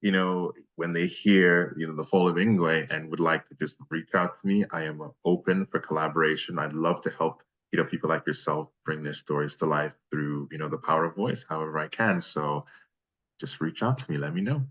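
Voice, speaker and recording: A man with a very deep voice speaks as a guest in a relaxed interview. He is a voice actor who gives long, winding answers, generous with his appreciation. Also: fairly quick, highs cut off. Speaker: freelance voice actor being interviewed. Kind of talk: casual interview chat.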